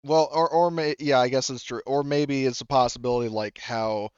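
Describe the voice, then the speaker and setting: A man narrating a tabletop roleplaying game casually as game master, improvising in a halting, rambling way and rephrasing as he goes. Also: medium pitch, fairly even intonation, clear, resonant tone, dry recording, dull audio. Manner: halting, rambling, often rephrasing mid-sentence.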